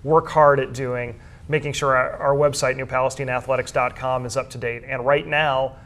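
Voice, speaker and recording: A man's voice: medium pitch, flat delivery, normal speed, a bit bright. Middle-aged American man explaining earnestly. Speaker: guest, school sports information director and journalism teacher. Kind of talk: explanatory interview talk.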